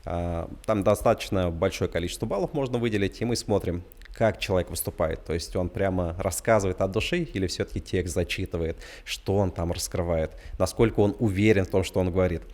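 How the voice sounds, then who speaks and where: A man giving a spontaneous interview answer as an expert judge, with hesitant pauses. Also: deep voice, darkish tone. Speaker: competition judge, entrepreneur.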